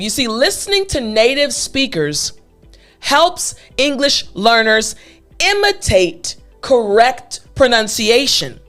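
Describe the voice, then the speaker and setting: A woman teaching an English lesson, speaking straight to her learners in an upbeat, emphatic way with deliberate enunciation. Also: fairly high pitch, a bit bright. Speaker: English teacher with an online academy. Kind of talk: upbeat scripted lesson talking to viewers.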